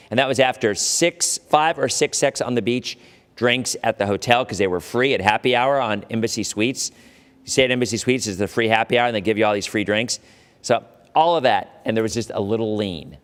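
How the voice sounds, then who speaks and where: Chatty middle-aged weatherman, reverberant room. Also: medium pitch. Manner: chatty, laughs often, rambling asides. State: losing his voice. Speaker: TV weather forecaster hosting a live stream. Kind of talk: casual live-stream chat and forecast.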